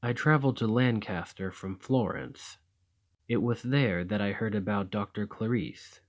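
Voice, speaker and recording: A man acts out a weary, gallant vampire gentleman from an audio roleplay, centuries old and desperate for a cure. His voice sits fairly low and moves very slowly. He phrases everything with formal, old-world politeness. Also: natural voice. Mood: polite, regretful. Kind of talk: scripted ASMR roleplay acting.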